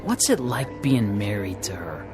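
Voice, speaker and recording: An actor playing a gruff, gossipy studio crew member, in a radio drama. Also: male voice, lowish voice, sing-song, measured pace, music in the background. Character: nosy, chatty male studio crew member.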